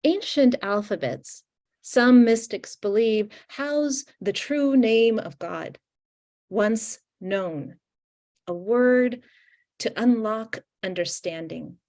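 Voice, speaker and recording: A middle-aged woman from Ukraine whose first language is Russian, reading her poems slowly and deliberately over a video call. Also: on the high side, a little mellow. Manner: slow, deliberate reading with weighted pauses. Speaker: poet. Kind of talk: poetry reading. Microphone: video call microphone.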